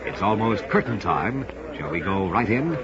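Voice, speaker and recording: A man's voice: fairly low pitch, very animated, normal speed, a crowd in the background. An American man announcing a scripted mid-century radio theater program in a formal, theatrical manner, like an usher welcoming the audience. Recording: noisy, dull vintage radio broadcast.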